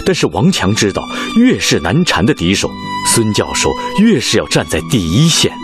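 Deep-toned, very animated male drama narrator. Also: over music. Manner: solemn, measured, emphatic.

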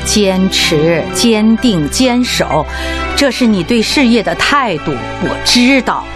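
An actress playing an older doctor's worried but supportive wife, chiding yet tender, in a radio drama. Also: female voice, mid-range voice, animated, slow pace. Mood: resigned disappointment. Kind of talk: Chinese radio drama acting. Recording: music bed behind the voice.

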